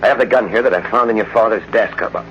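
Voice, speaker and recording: A man acting a brave young hero in an old-time radio drama, with an earnest, halting urgency. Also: fairly low pitch, fairly bright tone, lightly acted. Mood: tense, determined. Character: brave, tense young hero facing a monster. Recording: muffled, noisy old radio broadcast recording.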